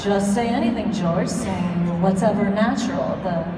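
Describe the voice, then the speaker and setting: A woman's voice: medium pitch, normal speed, close to an everyday voice. A woman playing a breezy, coaxing teenage boy at a live comedic table read. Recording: echoey noisy hall, far from mic.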